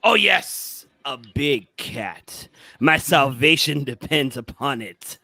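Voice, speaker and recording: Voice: mid-range voice, expressive, slow, vocal fry, bright, forceful tone, dry recording, full character voice. A performer reading a deranged, wheedling asylum patient in a theatrical gothic horror play. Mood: hungry pleading. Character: deranged asylum patient craving living creatures, wheedling and manic. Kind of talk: amateur script read-through of a gothic horror play.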